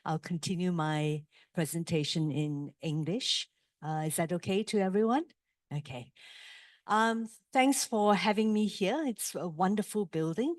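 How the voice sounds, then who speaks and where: A middle-aged woman with a Malaysian accent, giving a measured orientation presentation to students, with frequent ums and uhs. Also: on the low side, no room sound, studio quality. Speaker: university presenter.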